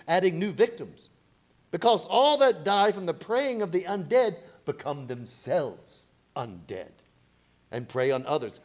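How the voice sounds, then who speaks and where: Actor as high-voiced, portentous professor vampire hunter. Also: male voice. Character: grave, zealous foreign professor, male vampire hunter. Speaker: actor in a radio play. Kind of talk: theatrical radio drama acting.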